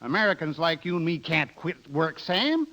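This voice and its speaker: An actor playing a blunt, loyal middle-aged American friend, clowning and hectoring, in a 1930s radio drama broadcast. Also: male voice, on the high side, very animated, normal speed, sharp tone. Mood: scolding.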